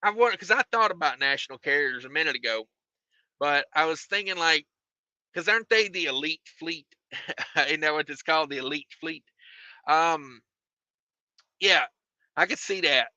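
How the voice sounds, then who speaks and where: A man hosting a trucking livestream, reading viewer comments in a relaxed, rambling way with many fillers and repeated phrases, his voice getting tired and hoarse. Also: a little high, moderate pace, pauses often, dry recording, poor audio. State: losing his voice.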